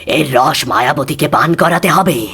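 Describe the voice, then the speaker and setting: An actor voicing a solemn, wise male sage in a cartoon fairy tale, grave and deliberate in manner. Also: mid-range voice, fairly bright tone, husky, exaggerated voice. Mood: solemn counsel.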